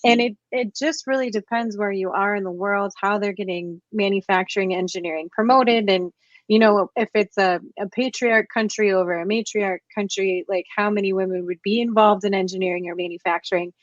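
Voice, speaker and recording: A woman's voice: medium pitch, fairly even intonation, brisk, no echo. A woman chatting over a video call, stumbling through restarts and fillers as she asks her questions. Her voice sits mid-range, and the sound is dull and dry, with almost no room around it. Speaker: interviewer. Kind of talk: casual conversation.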